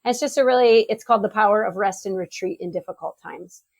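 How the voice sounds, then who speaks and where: A middle-aged woman with an American accent talks in a casual, conversational way about books. Her voice sits at a medium pitch, and the recording is dry with very little room sound. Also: fast, a little mellow, no room sound. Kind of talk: casual book chat.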